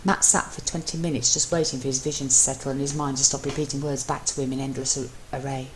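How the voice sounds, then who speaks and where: A woman's voice: very deep voice, level tone, fast. A woman reading fan fiction aloud as dramatic scripted narration, in an amateur recording. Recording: noisy amateur home recording.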